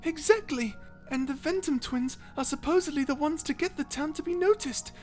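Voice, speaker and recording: Performer doing a squeaky-high, eager little mouse. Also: normal speed, soft background music, close to an everyday voice. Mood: eager, earnest. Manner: chirpy, eager, explanatory. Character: small eager cute mouse disciple, polite, excitable. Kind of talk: ASMR roleplay character acting.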